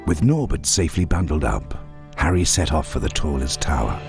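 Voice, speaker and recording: A very deep-voiced British storyteller narrates a fantasy game. His delivery is dramatic and measured, with lively swings in tone over loud music. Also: vocal fry, heavily acted. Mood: storytelling. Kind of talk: scripted narration.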